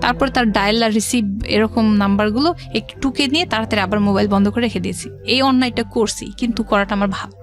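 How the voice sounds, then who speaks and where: A woman's voice: mid-range voice, fast. A middle-aged woman with a Bangladeshi accent, a guest telling her life story conversationally, with some English words mixed in. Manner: conversational, quotes past dialogue, mixes in English words. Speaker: interview guest. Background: background music.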